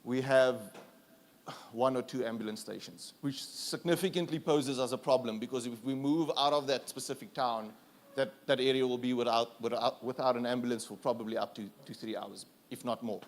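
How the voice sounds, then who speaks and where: A man's voice: medium pitch, normal speed. Afrikaans-accented man giving a conference talk. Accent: South African. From South Africa. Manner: easygoing, self-deprecating, with frequent fillers and restarts. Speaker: conference speaker. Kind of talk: conference presentation.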